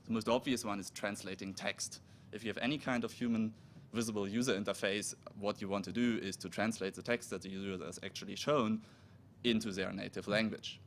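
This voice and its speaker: A man, a native German speaker, giving a technical conference talk, halting and restarting as he explains. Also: fairly low pitch, steady tone, slight background noise. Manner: halting, with restarts and self-corrections. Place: conference room.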